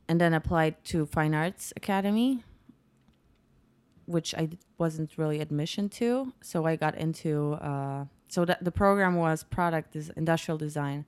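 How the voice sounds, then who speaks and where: This is a young woman from Poland with a Polish accent, whose voice sits low and sounds smooth and clear. She chats casually as a guest at a kitchen table. She tells her stories with lots of restarts and small detours. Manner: chatty, lively storytelling with many restarts. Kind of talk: casual chat. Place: home kitchen, music heard outside.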